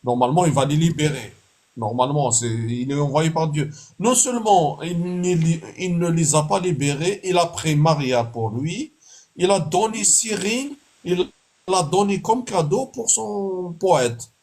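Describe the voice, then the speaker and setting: A man with a somewhat high voice argues bluntly over an online live-stream call. He is dismissive and indignant, and he cuts in to press his point. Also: lively intonation, measured pace, darkish tone. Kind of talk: heated casual debate.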